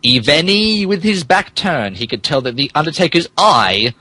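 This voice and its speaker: Animated man reading mock-dramatic fan fiction. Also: fairly high pitch, normal speed, background noise. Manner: stumbles over words, mock-dramatic asides. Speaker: podcast host reading as narrator.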